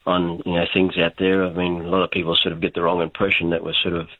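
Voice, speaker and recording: A man's voice: deep voice, monotone, fast talker, no echo. A man from Australia with a Queensland accent, calling in to a radio show in a laid-back, chuckling way and repeating his points. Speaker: caller. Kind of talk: phone-in chat. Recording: tinny phone line.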